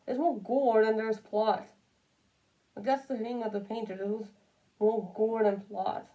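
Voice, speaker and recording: A woman's voice: a little high, fairly even intonation, measured pace, warm tone, poor audio. A woman giving casual, unscripted opinion commentary, rambling and repeating phrases for emphasis. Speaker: commentary video creator.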